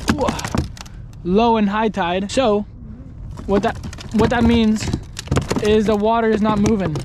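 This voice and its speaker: A young man fishing from a kayak on open water, chatting casually to an action camera and breaking into excited exclamations. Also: sits high, background noise. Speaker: kayak angler. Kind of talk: casual fishing vlog commentary. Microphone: action camera mounted on the kayak.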